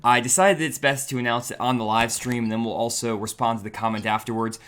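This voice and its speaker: A young man hosting a fishing livestream, chatty and upbeat, talking straight to viewers, his voice a little raspy and tired. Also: mid-range voice, fairly even intonation, fast talker, a bit bright, slight background noise. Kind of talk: casual livestream talk.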